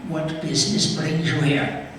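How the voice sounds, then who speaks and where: An actor playing an elderly, fearful and wistful miser in a theatrical radio play, with a halting, emotional delivery. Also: male voice, fairly high pitch, little pitch movement, a bit bright. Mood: fearful, questioning. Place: theatre stage. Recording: echoey theatre hall, distant mic, faint noise.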